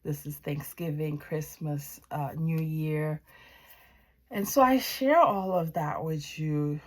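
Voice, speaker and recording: A woman from Haiti gives a personal testimony as a wellness practitioner. Her voice sits low and moves slowly. She speaks reflectively, choosing her words with deliberate pauses. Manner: reflective, deliberate, pausing mid-sentence.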